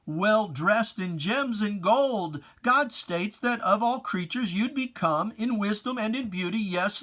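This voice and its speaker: Poetry reader, solemn and theatrical, very measured pace, mid pitch, for dramatic religious verse. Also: a bit bright. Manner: solemn, theatrical, drawn-out phrasing.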